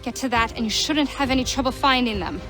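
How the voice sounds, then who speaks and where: A woman's voice: a little high, fast, music in the background, close to an everyday voice. An actress playing a proud, hardy frontier huntswoman in a video game, direct and matter-of-fact.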